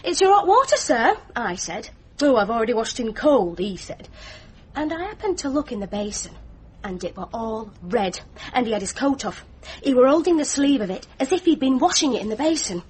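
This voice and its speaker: An actress playing a deferential, chatty young hotel maid in a radio mystery. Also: female voice, a little high, very animated, moderate pace, breathy edge. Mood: eager, deferential. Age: young adult. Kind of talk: British radio mystery drama acting.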